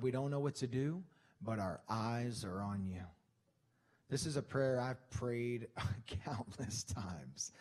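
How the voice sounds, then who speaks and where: A man in his thirties preaching a conversational sermon on a church stage into a handheld microphone, animated and playful. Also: mid-range voice. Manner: animated, conversational, with laughs and acted-out asides. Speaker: guest preacher. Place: church stage with wooden wall, indoors.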